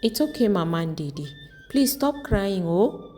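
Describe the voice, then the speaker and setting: A woman's voice: medium pitch, big pitch swings, unhurried, mellow. A woman playing an emphatic village wife, a fellow sufferer among friends in a folktale. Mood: consoling. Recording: long reverb, music underneath.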